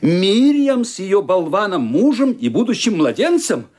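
Expressive male radio drama narrator. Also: high voice, a little slow. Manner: theatrical, expressive.

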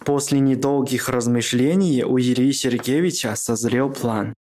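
Man, radio play narrator, middle pitch, scripted literary storytelling. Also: steady tone, unhurried, mellow.

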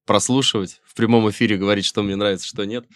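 A man's voice: lowish voice, steady tone, fast talker, fairly bright tone, dry recording. A middle-aged Russian man, a musician guest in a casual interview, talking wryly in rambling sentences with many fillers and restarts.

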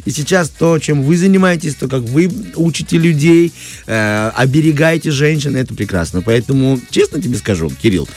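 A man's voice: fairly high pitch, expressive, moderate pace, darkish tone, faint music behind. A man hosting a morning radio show, chatting casually in a rambling, joking way. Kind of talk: casual chat. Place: radio studio.

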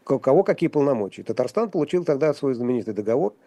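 A man speaking as a guest commentator on a radio talk show, halting and wry, with frequent restarts and fillers. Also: mid-range voice, very animated, mellow, dry recording. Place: radio studio.

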